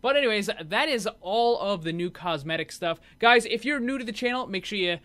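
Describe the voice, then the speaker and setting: A man's voice: sits high, lively intonation, a little fast, pushed and bright. A man giving casual commentary over game footage as a video host.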